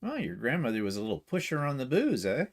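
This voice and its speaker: A man's voice: mid-range voice, wildly swooping pitch, quick pace. A man co-hosting a casual livestream, reading viewer comments aloud and answering with chuckling asides. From Canada. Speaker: livestream co-host.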